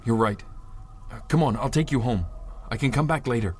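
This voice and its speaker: A man's voice: medium pitch, fairly quick, slightly rough, close to an everyday voice. An actor playing an earnest, protective amnesiac man with formal, hesitant phrasing in a 1930s-style adventure radio drama. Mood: thoughtful.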